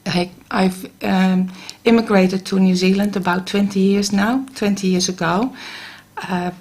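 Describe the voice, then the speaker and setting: A middle-aged woman with a Dutch accent speaks slowly and unhurriedly, her pitch sitting in a middle range. She talks haltingly, with frequent ums and restarts. She is a guest in a radio studio. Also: steady tone, a little mellow, husky. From Netherlands. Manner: hesitant, many ums and restarts. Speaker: guest, Reiki practitioner. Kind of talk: casual radio interview.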